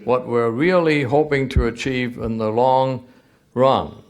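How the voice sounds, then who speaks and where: A man giving measured interview remarks in full, formal sentences. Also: mid-range voice, steady tone, darkish tone.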